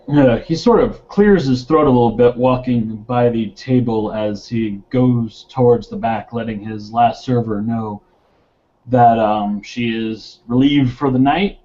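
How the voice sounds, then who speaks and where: A man narrating his roleplaying character's actions in the third person, in halting phrases. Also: medium pitch, dark tone. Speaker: tabletop roleplaying player. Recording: dull lo-fi voice recording.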